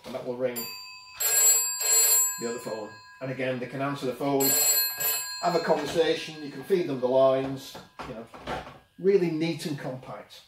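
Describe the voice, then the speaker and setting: A man's voice: medium pitch, normal speed, long pauses, background noise. Middle-aged man, casual demo presenter, plain-spoken explaining, roomy echo, slightly distant microphone. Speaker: presenter demonstrating a product. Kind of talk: casual unscripted product demo. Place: small office workshop, indoors. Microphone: camera a few metres away.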